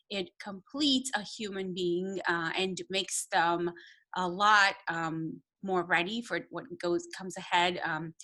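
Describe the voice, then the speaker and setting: A middle-aged woman with a Romanian accent speaks as a teacher addressing families. Her voice is very bright and sits at an average height. She talks informally and haltingly, searching for her words. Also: mid-range voice. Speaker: second grade teacher. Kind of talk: informal welcome talk to families.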